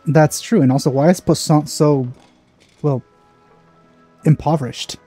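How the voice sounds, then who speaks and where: Very mellow, dark-voiced man musing over a game. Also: a little high, steady tone, a little slow. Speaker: gaming video host. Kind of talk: casual let's play commentary. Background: game music.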